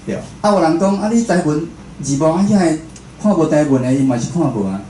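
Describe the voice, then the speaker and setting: Man, Taiwanese accent, informal lecture, mellow voice, conversational, questioning, roomy echo, faint noise. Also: on the high side, animated, quick pace. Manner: conversational, puts questions to the audience. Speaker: speaker, radio station director and show host. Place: indoor talk venue.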